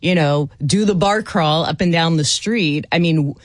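A woman speaking as a guest on a radio panel, thoughtful and quick to laugh. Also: low voice, no echo, clean studio sound. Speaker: guest expert.

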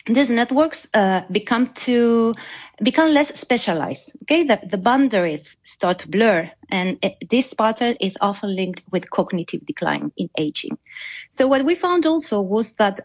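Non-native English-speaking woman, dull audio. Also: medium pitch. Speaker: academic researcher being interviewed. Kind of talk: explanatory interview answers. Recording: dull, band-limited audio.

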